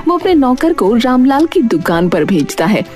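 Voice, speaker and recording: A woman narrates a cartoon village tale in a steady, plain manner. Her voice sits high. Faint music runs under her. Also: normal speed. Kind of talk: storytelling narration.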